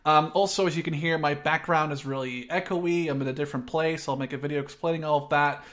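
A man's voice: on the high side, fairly even intonation, fast talker, a bit bright. A man in his twenties hosting a gaming video with casual, sweary commentary and chat. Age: 20s. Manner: informal, sweary. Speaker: gaming video host and player. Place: echoey room at a new place. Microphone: microphone of reduced quality.

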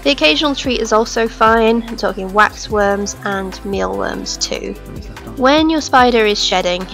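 A hoarse young woman, hosting her own channel and calmly explaining how to care for a pet. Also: medium pitch. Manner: steady explanatory delivery. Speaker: pet-keeping channel host. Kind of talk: informative pet-care narration. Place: home room with reptile tanks.

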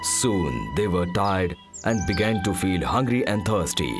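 A man's voice: sits low, expressive, unhurried, over music. A man narrating a mythological story in a scripted storytelling style.

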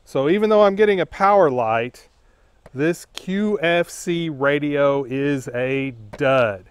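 Clear-voiced man, fairly high pitch. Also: takes it slowly. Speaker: host of a product testing channel. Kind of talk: scripted review narration to camera.

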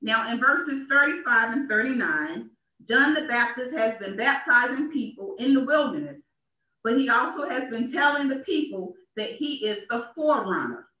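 A woman's voice: on the high side, animated, moderate pace, pushed and bright, recorded from a distance. A woman minister delivering a sermon in an emphatic way, repeating phrases for effect, in a small church. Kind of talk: sermon read with deliberate emphasis. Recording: echoey, dull church livestream from off.

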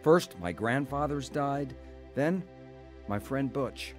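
A man's voice: medium pitch, expressive, slow pace, soft background music. An actor playing a wounded man who plainly and reflectively recalls his troubled farm boyhood in a radio drama testimony. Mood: reflective, hurt.

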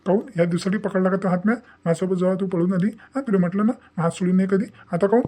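An impatient, dismissive young lover from a cartoon moral tale , acted out by a man. The voice is mellow and dark but talks fast. He speaks rural Varhadi Marathi and keeps pressing the same commands. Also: high voice. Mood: hurt, demanding. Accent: rural Maharashtra, Varhadi Marathi. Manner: impatient, insistent, repeats commands. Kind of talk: dramatized dialogue for a cartoon moral story.

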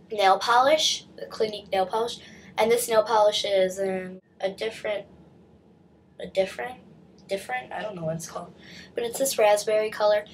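A woman's voice: mid-range voice, slight background noise. A teenage girl chatting through a shopping haul on her webcam, stuffy from a cold, with lots of likes and restarts. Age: teens. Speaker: vlogger. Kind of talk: casual haul video chat. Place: small bedroom indoors. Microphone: webcam at desk distance.

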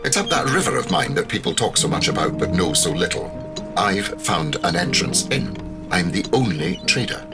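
An actor playing a gruff, proud and paternal trading sea captain, domineering and emphatic, in an audio drama. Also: male voice, sits low, big pitch swings, moderate pace, over music. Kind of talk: full-cast audio drama acting.

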